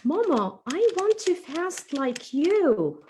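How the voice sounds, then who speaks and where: Woman voicing an eager little boy puppet, higher-pitched. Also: very animated, slow, mellow, a little hiss or hum, exaggerated voice. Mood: eager, pleading. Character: eager, helpful little boy puppet who wants to fast.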